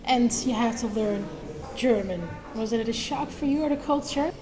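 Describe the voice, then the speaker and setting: A woman interviewing a guest, asking short questions and giving quick replies of agreement. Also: on the high side, crowd noise. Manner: brief prompts and quick back-channel replies.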